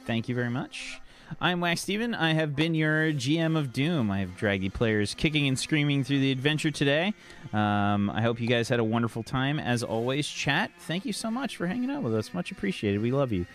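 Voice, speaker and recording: Guy gm wrapping up a stream, lots of ums, mid pitch voice. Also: animated, moderate pace. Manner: rambling, full of ums and thank-yous. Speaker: game master. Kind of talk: casual livestream wrap-up.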